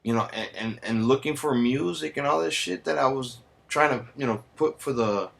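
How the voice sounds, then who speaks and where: A man's voice: mid-range voice, moderate pace, gravelly, a bit bright. A man from the United States, ranting heatedly and sarcastically on a vlog, swearing constantly and dropping in Spanish slang. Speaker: vlogger. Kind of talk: profane angry rant.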